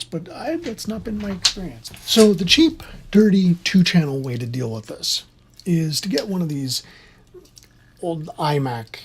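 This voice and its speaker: An instructor of unclear sex giving an informal, opinionated tutorial in a husky, conversational voice that rambles along. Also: mid-range voice, a little mellow. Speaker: instructor in theater sound.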